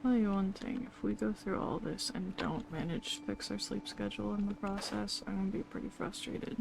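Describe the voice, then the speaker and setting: A woman giving casual, dry, deadpan commentary as she streams a video game. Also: medium pitch, brisk, gravelly, background noise. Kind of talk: casual commentary over gameplay. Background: game music.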